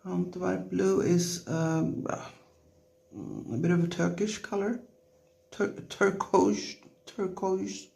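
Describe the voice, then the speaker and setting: A woman with a non-native English accent giving a relaxed watercolour lesson in short, gently encouraging phrases while she works. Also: lowish voice, takes it slowly, gravelly. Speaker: watercolour tutorial instructor. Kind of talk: relaxed painting tutorial, talking while working.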